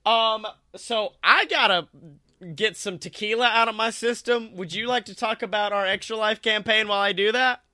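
A man's voice: high voice, steady tone, moderate pace, pushed and bright, no echo. A young man co-hosting a comedy podcast, joking around in loose, profane banter. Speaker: podcast co-host.

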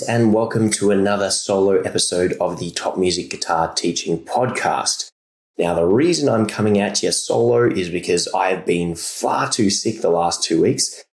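A man's voice: low-pitched, a little mellow, roomy sound. An Australian man hosting a guitar-teaching podcast in a chatty, rambling solo talk, recovering from a sore throat. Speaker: podcast host.

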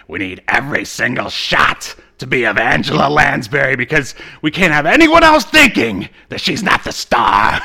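A man's voice: mid-range voice, sing-song, normal speed, creaky, pushed and bright. An American man co-hosting a casual comedic chat, given to jokey, mock-dramatic asides. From United States. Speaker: podcast co-host.

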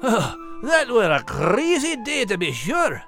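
A man voicing an earnest, grateful Scottish dog in a children's animal story, folksy and animated. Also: extremely high pitch, measured pace, gravelly, soft background music, pitched above the performer's own voice, exaggerated voice. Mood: folksy, reflective.

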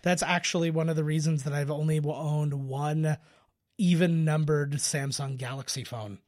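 A man's voice: on the high side, fairly even intonation, moderate pace, darkish tone. A man co-hosting a podcast, chatting casually through a film commentary and swearing now and then. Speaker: podcast co-host.

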